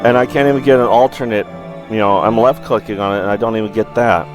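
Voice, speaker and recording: A middle-aged man hosting a gaming livestream, chatting casually in a rambling, halting way. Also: lowish voice, steady tone, music in the background.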